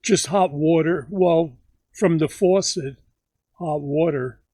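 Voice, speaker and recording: Elderly man in his 90s, slow and halting, slightly high voice, dry close sound. Grandfather recounting memories. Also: warm tone, no echo. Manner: slow, halting recollection with many pauses. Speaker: grandfather telling stories. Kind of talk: casual family interview.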